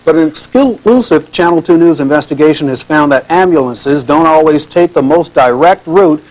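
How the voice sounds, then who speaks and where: A man's voice: on the high side, moderate pace, a little mellow. A man anchoring a television newscast, reading scripted news. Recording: dull lo-fi TV newscast tape.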